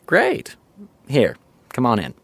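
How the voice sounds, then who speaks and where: A man playing an easygoing, earnest TV showrunner in an audio drama, confiding in casual storytelling with dramatic pauses. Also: medium pitch, wildly swooping pitch, vocal fry, slightly rough, no echo, heavily acted. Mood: breezy, welcoming. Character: easygoing male TV showrunner, chatty earnest raconteur. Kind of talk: audio drama acting, naturalistic dialogue.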